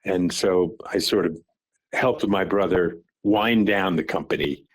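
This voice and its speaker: A man in his seventies on a phone call, recalling the family business at an unhurried pace, often repeating words and restarting as he remembers. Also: deep voice. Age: 70s. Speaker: father recounting family business.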